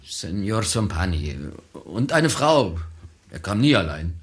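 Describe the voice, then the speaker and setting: An actor playing a scared, evasive restaurant cook in a radio crime drama, stammering and pleading. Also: male voice, low voice, big pitch swings, takes it slowly, full character voice. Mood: subdued, fearful. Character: frightened, evasive male restaurant cook under police pressure. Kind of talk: German radio drama acting.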